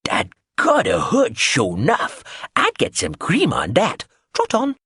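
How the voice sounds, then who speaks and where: Guy doing a batty old-sounding lady, squeaky and babbling nonsense, all over the place. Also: takes it slowly, husky, dry recording, studio quality, exaggerated voice. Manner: nonsense rambling, high and excitable. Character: batty eccentric woman, shrill and babbling.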